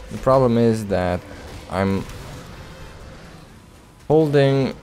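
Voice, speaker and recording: Slow-talking, mellow-voiced man gaming. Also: lowish voice, a little hiss or hum. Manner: playful, joking, mutters reactions to the game. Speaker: Let's Play host. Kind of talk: casual video game commentary. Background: video game sounds.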